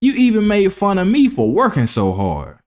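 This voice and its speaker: A man's voice: high voice, huge pitch swings, normal speed, darkish tone, voice pushed up. A man voicing a hardworking, sensible ant in a children's storybook, earnest and admonishing. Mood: stern, scolding. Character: hardworking, sensible, stern male ant who lectures. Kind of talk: children's storybook read-aloud.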